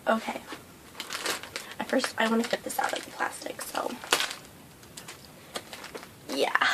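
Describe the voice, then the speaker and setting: A young woman vlogging a breakfast mukbang, hoarse and losing her voice from a cold, rambling with lots of fillers. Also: a little high, noisy background. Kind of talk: casual mukbang vlog chat. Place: indoors at home under a hot light.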